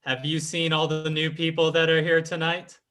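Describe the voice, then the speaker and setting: A man's voice: fairly high pitch, completely flat delivery, moderate pace, a bit bright, close to an everyday voice. A man playing a smug, commanding fake spiritualist swindler in theatrical 1940s radio style. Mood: smooth, suspicious. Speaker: actor in an old-time radio drama revival.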